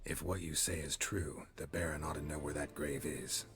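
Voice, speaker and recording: Very deep-voiced, deadpan monster hunter. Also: male voice, moderate pace. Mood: curt, dry. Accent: American. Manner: deadpan, clipped. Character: gruff, laconic male monster hunter for hire. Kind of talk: video game voice acting.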